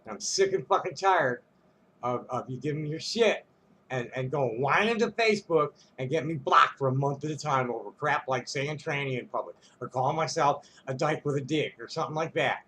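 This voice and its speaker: A man's voice: on the high side, lively intonation, a little fast, fairly bright tone, a little hiss or hum, poor audio. A man ranting to camera in a casual vlog, rambling and profane, stumbling over restarts and chuckling between phrases. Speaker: vlogger.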